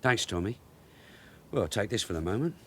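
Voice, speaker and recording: Sardonic veteran police detective, lively delivery. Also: male voice, on the low side, moderate pace, slight background noise. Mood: brisk, probing. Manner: dry, clipped, teasing sarcasm. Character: shrewd, sardonic veteran London detective sergeant. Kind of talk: British radio crime drama acting.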